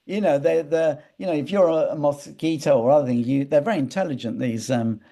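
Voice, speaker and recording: Mellow-voiced man telling rambling anecdotes. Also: fairly high pitch, a little fast. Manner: hesitant, repetitive, digressive. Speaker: interview guest, entrepreneur. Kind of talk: conversational interview, rambling anecdotes.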